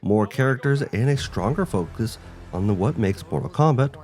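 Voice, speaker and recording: A man's voice: lowish voice, lively intonation, moderate pace, a little mellow. A man co-hosting a video game show, reading a scripted presentation. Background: background music.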